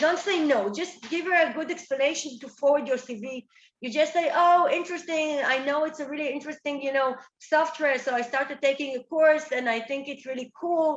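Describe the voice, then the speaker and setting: High-voiced woman coaching job seekers. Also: reverberant, highs cut off, a little hiss or hum. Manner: direct, coaching, repeats "okay?". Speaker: career workshop instructor. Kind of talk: workshop talk to job seekers.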